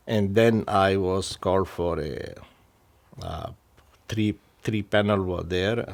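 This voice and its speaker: A middle-aged man from Pakistan telling his own story in an interview, halting, with many restarts and fillers. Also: fairly low pitch. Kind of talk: personal story told to camera.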